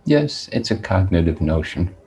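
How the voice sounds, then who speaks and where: Male meditation teacher, low gravelly voice, deliberate phrasing with long pauses. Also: very animated, darkish tone. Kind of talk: guided meditation talk.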